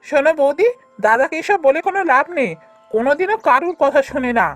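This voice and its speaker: A woman's voice: high voice, animated, fast talker, soft background music. A woman voicing a stubborn, argumentative younger brother, a village trader, in a cartoon. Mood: insistent. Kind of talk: cartoon voice acting.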